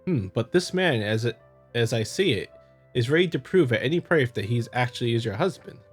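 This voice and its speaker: Casting: man as a brusque investigator for a visual novel, mid-pitched, skeptical and sardonic, faint music underneath. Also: animated, a little fast, close to an everyday voice. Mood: probing, skeptical. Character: brusque, skeptical male investigator questioning a witness. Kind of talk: visual novel dialogue read aloud.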